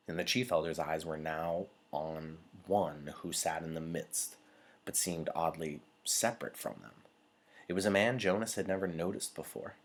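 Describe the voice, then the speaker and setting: A man reading a novel aloud in an expressive narrating style, like a teacher to a class. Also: low voice.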